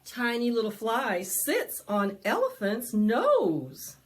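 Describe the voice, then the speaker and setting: A woman's voice: fairly high pitch, wildly swooping pitch, slow pace. A woman hosting a preschool storytime, reading a picture book aloud in a sing-song, dramatic way and asking the children questions. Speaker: library storytime host. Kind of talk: animated preschool read-aloud with singing.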